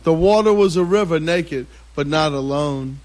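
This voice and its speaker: A man's voice: fairly high pitch, moderate pace, a little mellow. A man performing spoken-word poetry with a chanting, repetitive cadence.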